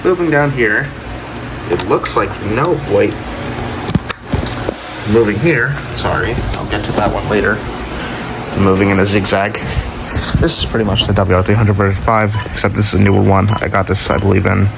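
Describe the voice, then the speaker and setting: A man's voice: on the low side, steady tone, moderate pace, creaky, pauses often, background noise. A man giving a casual, unscripted show-and-tell as a hobbyist, rambling offhandedly and correcting himself as he goes. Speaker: hobbyist collector showing weather radios.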